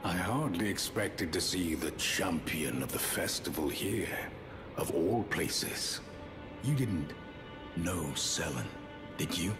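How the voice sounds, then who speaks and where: A man playing a grave, honourable warrior in a fantasy game, speaking in a deliberate, courtly way. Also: low-pitched, animated. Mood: courteous, sombre. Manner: deliberate, courtly, drops into breathy near-whispers. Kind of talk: dramatic fantasy game acting. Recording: voice under loud background music.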